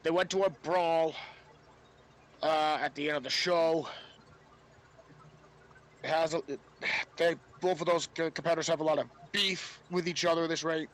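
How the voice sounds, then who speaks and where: A man co-hosting a casual wrestling podcast, speaking haltingly and often repeating words as he explains. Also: on the high side, fairly even intonation. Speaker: podcast co-host. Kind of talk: casual wrestling review chat.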